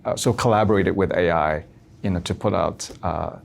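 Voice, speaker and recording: Male academic interviewer, lowish gravelly voice, halting questions delivered in short fragments. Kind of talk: moderating an online conversation.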